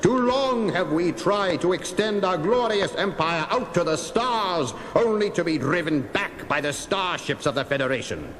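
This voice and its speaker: A man's voice: high voice, animated, moderate pace, hardly any pauses, fairly bright tone, slight background noise, low-quality recording. A man playing a gloating, grandiose Romulan commander in a theatrical, declamatory seventies audio drama style. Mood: grandiose, triumphant. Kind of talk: 1970s audio drama acting.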